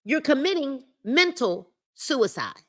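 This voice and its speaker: Bright, lively woman leading a book club. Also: sits high, takes it slowly. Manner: emphatic, repeats key phrases and speaks to the chat. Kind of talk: reading a self-help book aloud with commentary on a live stream. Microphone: livestream mic.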